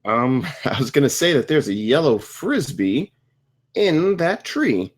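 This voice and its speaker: A flippant young slacker guy played by a man in a cartoon comedy. His husky voice swings widely up and down, earnest and clueless. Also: mid-range voice, sing-song, a little slow. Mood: oblivious. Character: flippant, defensive 28-year-old slacker man. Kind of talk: animated comedy script table read.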